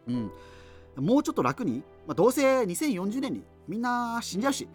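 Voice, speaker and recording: Rambling, animated geeky radio host. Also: male voice, high-pitched, a little fast, faint music behind. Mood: resigned, musing. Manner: hesitant, digressive, self-interrupting. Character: rambling, geeky male radio host facing doomsday in 2039. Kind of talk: radio drama monologue, conversational.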